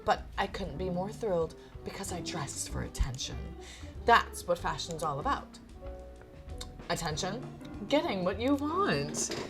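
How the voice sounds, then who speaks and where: Woman as pampered animal fashionista, visual novel game, pompous slow drawl, swooping intonation, music behind. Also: on the low side, very animated. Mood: grandly self-satisfied. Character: suave, theatrical, fashion-obsessed pampered animal friend.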